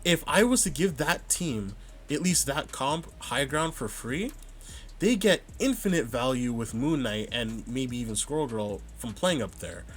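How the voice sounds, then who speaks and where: A man's voice: mid-range voice, expressive, a bit bright. Man reviewing his own gameplay. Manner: thinks aloud, analytical, pauses to rewind and check. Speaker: gamer reviewing his own match footage. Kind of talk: casual vod review commentary.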